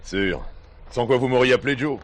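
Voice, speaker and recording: A man's voice: mid-range voice, fairly quick, crowd noise, close to an everyday voice. Boastful, gallant cowboy for radio drama, male actor: mid-range voice, swaggering, joking delivery. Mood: swaggering gallantry. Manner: swaggering, jokey. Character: boastful, gallant play-acting cowboy named Joe. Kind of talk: French radio drama acting.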